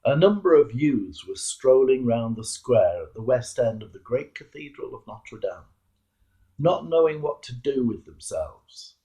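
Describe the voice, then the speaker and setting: A British man preaches a reflective sermon in a dark, relaxed voice with a gravelly edge. He moves slowly and earnestly through stories and searching questions, measuring out each phrase. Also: medium pitch, low-quality recording. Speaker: clergyman giving a Holy Week reflection.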